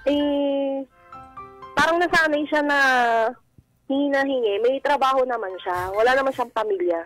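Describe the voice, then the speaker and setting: High-voiced Filipino woman calling in. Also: fairly even intonation, moderate pace, fairly bright tone, soft background music, poor audio. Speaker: caller. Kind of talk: casual chat, explaining a personal problem. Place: call-in to a radio show.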